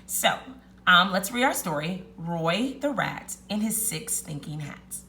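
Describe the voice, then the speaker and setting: Woman, teacher reading aloud, expressive children's narration, bright voice, lively swooping pitch, deliberate emphasis. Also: a little hiss or hum.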